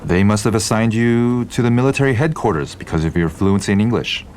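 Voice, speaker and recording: An actor playing a stern, dutiful aide and army veteran in an audio drama, formal and admonishing, with rain behind. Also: male voice, on the low side, a little fast, a little mellow, lightly acted. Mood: stern, deferential. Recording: faint rainstorm noise behind.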